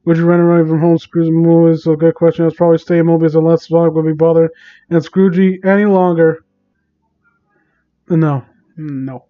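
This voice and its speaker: Exasperated man with a high-pitched voice. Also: flat delivery, moderate pace, lo-fi sound. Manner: exasperated, sarcastic, sweary. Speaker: live streamer reading and mocking online fan fiction. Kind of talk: casual livestream reading and commentary.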